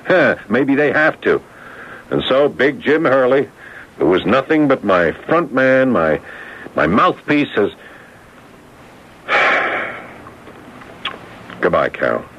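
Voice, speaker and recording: Troubled bigshot businessman, strained and brooding, swingy pitch, dull noisy old radio recording. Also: very animated, takes it slowly, pauses often, a bit bright. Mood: weary, bitter. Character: proud, troubled businessman, anguished and bitter. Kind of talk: dramatic radio drama acting.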